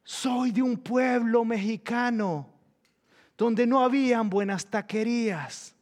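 A man preaching a sermon as pastor, swinging between hushed passages and loud, emphatic peaks. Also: extremely high pitch, slow. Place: church hall. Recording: reverberant church hall.

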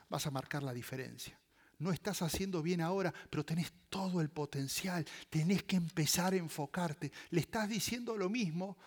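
A male preacher with a Latin American Spanish accent delivers his sermon slowly in a high voice. His pitch moves widely as he goes from forceful loud stresses down to hushed phrases. He is deliberate and repeats his main points for emphasis. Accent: Latin American Spanish with voseo. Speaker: pastor preaching.

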